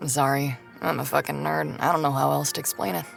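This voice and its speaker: An actress in an audio drama playing a self-conscious, foul-mouthed nerdy woman, talking in a halting, blunt, natural way. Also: deep voice, fairly even intonation, creaky, soft background music. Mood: tender, awkward. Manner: halting, blunt, casually profane.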